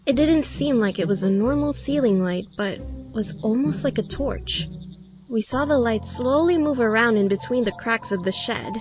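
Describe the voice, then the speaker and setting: A woman's voice: fairly high pitch, normal speed. A woman narrating a scripted first-person horror story. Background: background music.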